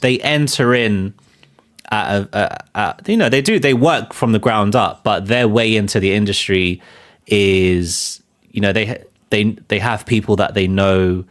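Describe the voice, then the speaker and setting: A man with a fairly low voice hosts a live film chat, thinking out loud as he goes. He keeps circling back with fillers and restarts, and his delivery stays loose and conversational. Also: unhurried. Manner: rambling, many fillers and restarts. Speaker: show host. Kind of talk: live casual commentary.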